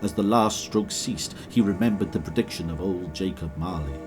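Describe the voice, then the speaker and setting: A man narrating a classic story in a measured, scripted way. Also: low voice, music in the background.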